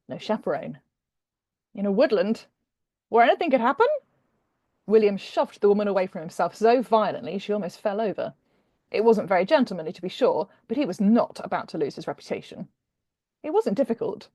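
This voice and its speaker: A British woman speaking as a guest author, conversational and expressive as she introduces and reads fiction. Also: mid-range voice, husky, dry recording, studio quality. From Britain.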